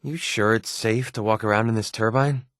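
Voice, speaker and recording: A man's voice: lowish voice, steady tone, moderate pace, a bit bright, no room sound, clean studio sound, close to an everyday voice. A man with an American accent playing a sarcastic, wisecracking young mercenary companion in a video game. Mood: wary, alert. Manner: sardonic, wisecracking, colloquial. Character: wry, sarcastic young male wasteland mercenary. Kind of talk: video game companion dialogue, naturalistic acting.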